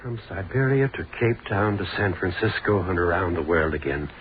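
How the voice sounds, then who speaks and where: An actor playing an excitable, alarmed man in an old-time radio horror drama, speaking urgently and dramatically. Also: sits low, normal speed, phone-quality sound. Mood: grave alarm. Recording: thin, noisy vintage radio recording.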